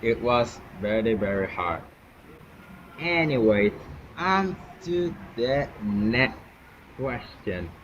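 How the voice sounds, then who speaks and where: A teenage boy from Colombia, a native Spanish speaker, hosts a casual school interview in English. He speaks slowly and deliberately, sometimes restarting words. The recording sounds dull and carries steady background noise. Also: mid-range voice. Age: teens. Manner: slow, careful non-native English with restarts. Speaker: student interviewer. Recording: muffled with noticeable background noise.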